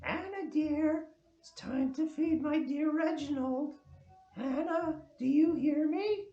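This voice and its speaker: Elderly wealthy aunt, high voice, slow delivery, imperious yet coquettish, film script read, dull roomy sound. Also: female voice, warm tone, soft background music. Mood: imperious, sweet. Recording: dull, echoey room recording.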